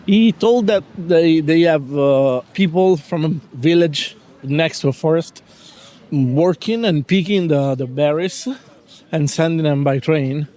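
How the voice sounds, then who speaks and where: A man's voice: on the high side, unhurried, mellow. A man, a magazine editor answering questions casually and hesitantly. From Italy. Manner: hesitant, many fillers and restarts. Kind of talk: casual interview.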